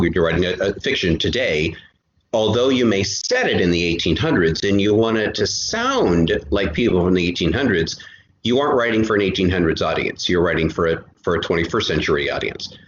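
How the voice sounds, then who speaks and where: A low-voiced man speaks as an interview guest, explaining things earnestly and circling back over his words. The sound is roomy and somewhat muffled. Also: sing-song, fairly quick. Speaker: guest, co-creator of an audio drama podcast. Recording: roomy, dull, noisy amateur recording.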